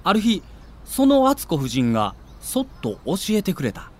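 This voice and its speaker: A man's voice: high voice, huge pitch swings, moderate pace. A man reading a scripted documentary narration with a theatrical storyteller's delivery.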